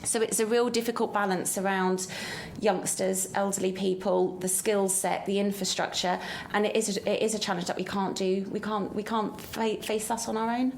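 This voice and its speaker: A woman's voice: medium pitch, steady tone, moderate pace, creaky. A woman on a podcast panel speaks earnestly, laying out a series of concerns in a mid-range voice. Faint background noise runs beneath her. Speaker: panel guest. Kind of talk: panel discussion.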